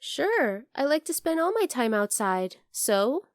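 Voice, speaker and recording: Woman voicing a high-pitched, playful young bunny girl. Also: big pitch swings, unhurried, no echo, studio quality. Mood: stubborn, playful. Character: teasing, outdoorsy young bunny girl, curious about humans. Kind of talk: ASMR roleplay addressed to the listener.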